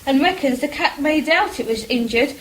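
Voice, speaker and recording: A woman's voice: sits high, lively intonation, normal speed, rough recording. A woman playing a polite, chatty English housewife with a beloved dog in a period radio play. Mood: wry. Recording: faint background noise.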